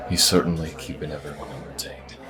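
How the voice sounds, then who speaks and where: Low-voiced, gentle fantasy priest. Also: male voice, big pitch swings, fast talker, loud music behind the voice, full character voice. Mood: friendly, easygoing. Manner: gentle, reassuring. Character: Leo, caring priest, warm devoted partner and party host. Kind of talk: fantasy audio drama acting.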